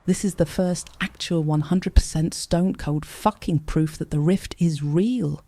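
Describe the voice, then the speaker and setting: A mellow-toned, brash young woman in a naturalistic audio drama, recorded close and dry. She is impatient, blunt and sarcastic, eager to explain. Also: fairly low pitch, unhurried, no echo. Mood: excited, sarcastic.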